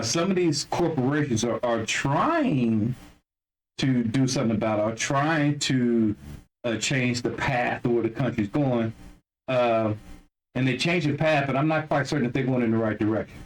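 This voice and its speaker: A man in a middle register co-hosts a podcast in casual conversation. He talks haltingly, often starting a sentence over before he finds his point. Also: mid-range voice, far from the mic, low-quality recording. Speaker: podcast co-host.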